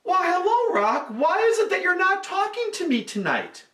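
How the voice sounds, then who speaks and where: A man voicing a clever, cheeky male monkey in a told story, talking in a high, excitable way. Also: moderate pace, far from the mic, heavily acted. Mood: coaxing. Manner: squeaky, excitable, gleeful. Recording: echoey room, voice off-mic.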